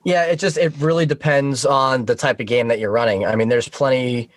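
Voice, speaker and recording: A man's voice: medium pitch, steady tone, a little fast, no room sound. A man with a mid-range voice talks as a guest on an online podcast. He explains things casually, like an enthusiast, in a dry recording with very little room sound. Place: online video call.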